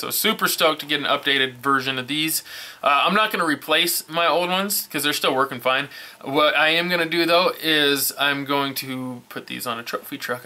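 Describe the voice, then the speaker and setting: A man's voice: on the high side, sharp tone, a little hiss or hum. A middle-aged man in a hobby workshop, unboxing parts on a casual vlog and enthusiastically calling everything super stoked. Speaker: RC hobby vlogger. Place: indoor hobby workshop bench. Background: package crinkling and clicks.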